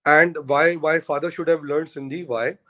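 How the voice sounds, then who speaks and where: A man's voice: on the high side, fairly even intonation, normal speed, bright, forceful tone, muffled recording. A man, an Urdu speaker, arguing his points emphatically in a conversational discussion. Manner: emphatic, argumentative, repeats points. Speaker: guest.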